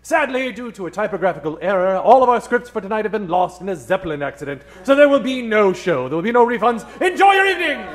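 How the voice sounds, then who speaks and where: Animated, high-pitched male stage MC. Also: quick pace, hardly any pauses. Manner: theatrical, mock-formal showman. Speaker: stage MC warming up audience. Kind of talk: improv comedy hosting in 1940s radio manner. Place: live on stage in a comedy theater.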